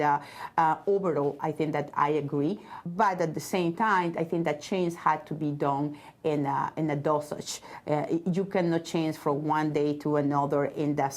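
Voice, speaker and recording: Woman with a Venezuelan Spanish accent, low voice. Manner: earnest, searching for words in English. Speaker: robotics business owner. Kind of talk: interview answers.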